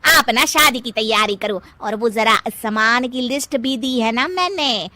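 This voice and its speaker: A woman voicing a vain, bossy, excitable middle-aged mother-in-law in a cartoon, with a theatrical, gushing and scolding manner. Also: fairly high pitch, measured pace, no room sound. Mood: gushing, eager. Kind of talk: Hindi moral-story cartoon acting.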